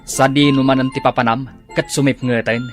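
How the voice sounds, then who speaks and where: A man's voice: mid-range voice, flat delivery, moderate pace, faint music behind, lightly acted. A man playing a jealous, suspicious husband in a melodramatic radio drama, interrogating and scolding. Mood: suspicious, questioning.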